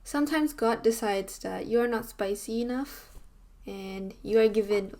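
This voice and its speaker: A woman in her twenties with a Malaysian accent, chatting casually to camera in an animated way, full of "like" and "you know". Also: fairly high pitch, measured pace. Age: 20s. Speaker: vlogger. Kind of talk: casual talking-head vlog. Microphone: camera mic, seated on a chair.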